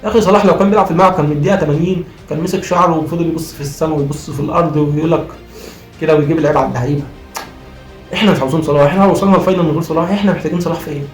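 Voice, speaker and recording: An Egyptian man talks football at breakneck speed in a fairly high voice that sounds strained and worn from cheering. He argues emphatically and repeats his points like a fired-up fan. The room is noticeably echoey. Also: fairly even intonation, racing. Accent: Egyptian Arabic. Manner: emphatic, argumentative, repeats phrases. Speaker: football vlogger. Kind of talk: impassioned post-match fan commentary. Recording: roomy echo, faint music behind.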